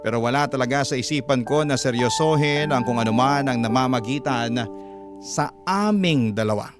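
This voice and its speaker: Filipino guy radio storyteller, scripted narration, average pitch, music bed clearly behind. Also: normal speed. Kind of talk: first-person scripted narration of a love letter, classic radio style.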